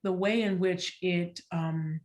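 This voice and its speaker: Low voiced woman, academic panel talk, picks her words carefully and leans on key ones. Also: steady tone, darkish tone. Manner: deliberate, searching, articulate, emphasizes key words. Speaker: theater artist on a panel. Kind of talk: scholarly, reflective panel discussion.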